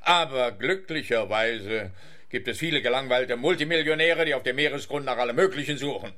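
An actor as a theatrical, smug policeman, bright voice. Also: medium pitch, a little fast, close to an everyday voice. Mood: officious, triumphant. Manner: theatrical, officious, muddles his sayings. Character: smug, bumbling, theatrical male police officer. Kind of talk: comic radio play acting.

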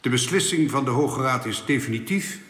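Guy doing a stern alien councillor in a sci-fi radio play, official and measured, big echoey hall. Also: medium pitch, expressive, fairly bright tone, natural voice. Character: formal, unyielding alien councillor of a futuristic state. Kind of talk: science fiction radio drama acting. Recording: echoey hall with long reverb.

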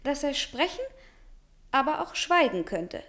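A woman's voice: sits high, big pitch swings, measured pace, a bit bright, heavily acted. An actress playing a young woman visitor in a German radio play, earnest and guarded. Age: young adult.

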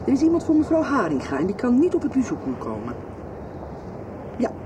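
An officious yet obliging receptionist in a radio drama, set back from the mic amid clear background noise. Her high voice swoops widely as she talks in an official manner. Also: huge pitch swings, brisk. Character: officious but obliging hospital receptionist woman. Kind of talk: radio soap acting. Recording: distant mic, noisy background.

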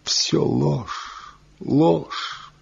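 An actor playing a bitter, envious wandering craftsman in a theatrical radio drama, declaiming with impassioned, weighty pauses. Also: a little high, fairly even intonation, gravelly, slightly rough, heavily acted. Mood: tormented confession. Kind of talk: theatrical Soviet radio play acting. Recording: muffled 1970 radio drama recording.

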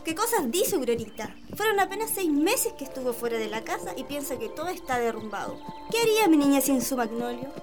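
A woman's voice: sits high, very animated, a little fast, few pauses. A woman playing a devoted, nosy maid in a radio drama, fond, fussing and theatrical in her delivery. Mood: indignant, fond. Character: devoted, flattering, nosy maid to young lady. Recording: loud music behind radio drama dialogue.

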